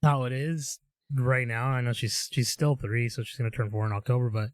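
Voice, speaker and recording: A young man with a mid-range voice co-hosts a podcast and rambles through stories in an animated, upbeat way. The recording is close and dry. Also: medium pitch, brisk, dry recording. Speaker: podcast co-host. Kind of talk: casual chat.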